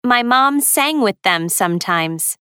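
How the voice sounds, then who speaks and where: A woman plays a young dance teacher in her twenties for a language lesson. Her voice is smooth and slightly high, her delivery plain and careful. The sound is dry and close. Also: animated, slow, pushed and bright, no room sound, heavily acted. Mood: calm. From United States. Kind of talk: scripted textbook dialogue.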